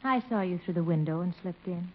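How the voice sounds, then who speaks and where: A woman's voice: lowish voice, a little fast, lightly acted. An American actress playing a flirtatious, eager lady friend in a 1950s radio comedy, with a coy, coaxing manner. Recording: muffled, hissy old radio recording.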